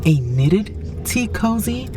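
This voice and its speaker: Actor playing a calm formal explainer, lively intonation, science fiction crew member, music behind. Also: medium pitch, recorded from a distance. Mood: calm, wry. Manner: measured, formal, with some Spanish phrases. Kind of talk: science fiction audio drama acting.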